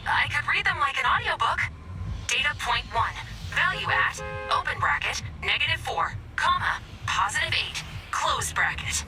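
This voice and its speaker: A sardonic, nerdy game sidekick. She is high and very lively in pitch, teasing and theatrical, and sounds set back from the mic with music playing behind her. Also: moderate pace, recorded from a distance, low-quality recording. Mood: dry, teasing. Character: sardonic, nerdy female research assistant. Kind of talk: video game voice acting.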